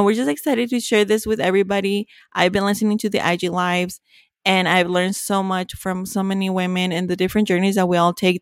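Kobieta z Meksyku o suchym brzmieniu. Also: female voice, medium pitch, no room sound, studio quality. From Mexico. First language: Spanish. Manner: warm, chatty, self-joking. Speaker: podcast co-host. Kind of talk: casual podcast chat.

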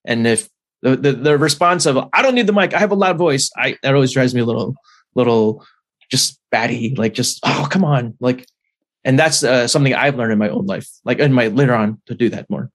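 A man hosting a podcast who talks in a lively, animated way. He often stops and restarts his sentences and scatters fillers through his talk, in a relaxed and conversational tone. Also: medium pitch, fairly quick. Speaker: podcast host. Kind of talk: casual conversation.

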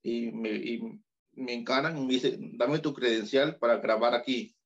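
A man's voice: mid-range voice, moderate pace, dull audio. A Peruvian man with a Peruvian Spanish accent, telling anecdotes in casual chat with hesitant restarts. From Peru. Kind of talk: casual storytelling.

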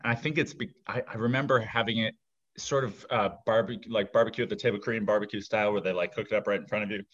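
A man's voice: fairly low pitch, steady tone, fast, fairly bright tone. A man who is a podcast guest, telling rambling anecdotes packed with fillers in a casual chat. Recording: dull lo-fi sound.

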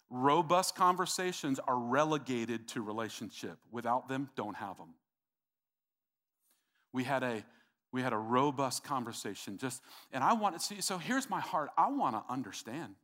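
A man preaching a sermon to his congregation, in a voice of ordinary middle pitch. He speaks earnestly and circles back over his own words. He addresses the church directly. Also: lively intonation, moderate pace, long pauses, a bit bright. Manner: earnest, repeats himself, talks to the congregation. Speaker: pastor.

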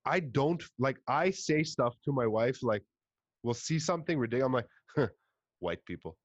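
A young man with a Montreal English accent, hosting a podcast in casual chat, an animated storyteller who swears and puts on voices. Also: mid-range voice, normal speed, dry recording, highs cut off. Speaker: podcast host.

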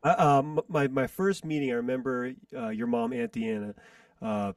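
A man's voice: medium pitch, animated, dry recording. Forties male guest, rambling storyteller, dry sound from a distance. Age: 40s. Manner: rambling, reminiscing, frequent pauses to recall. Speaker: guest musician and entrepreneur. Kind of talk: casual interview storytelling.

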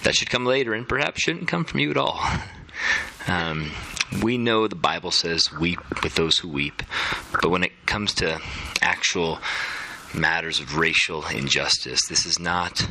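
A man's voice: low-pitched, a little slow, creaky, sharp tone, low-quality recording. A man hosting a live onstage interview, asking long framed questions with fillers. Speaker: host and interviewer. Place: event center stage.

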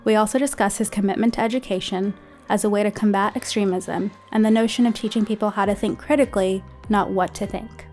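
A woman's voice: medium pitch, steady tone, fairly quick, darkish tone, soft background music. A woman hosting a podcast, reading a scripted introduction and asking curious, conversational questions. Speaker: podcast host.

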